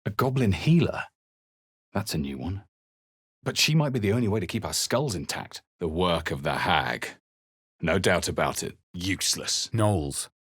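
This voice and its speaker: Video game adventurer, wary and grave, theatrical, husky, dry close recording. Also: male voice, low voice, lively intonation, a bit bright, dry recording, exaggerated voice. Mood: wary, terse. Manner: grave, deliberate, theatrical delivery. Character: male fantasy adventurer hero, wary, grave and dramatic. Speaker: video game player-character voice actor. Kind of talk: dramatic scripted game narration and voice lines.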